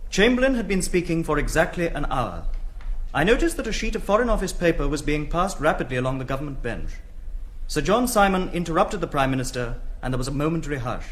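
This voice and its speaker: English memoirist, grave, precise, measured, slightly raised pitch, dramatic reading. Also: male voice. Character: English male diarist recalling parliament, grave and precise.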